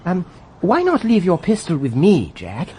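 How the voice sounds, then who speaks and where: An actor playing an anxious, polite RAF officer with a British accent, coaxing and hesitant, in a radio drama. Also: male voice, high-pitched, wildly swooping pitch, measured pace, darkish tone, slight background noise, full character voice. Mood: anxious pleading.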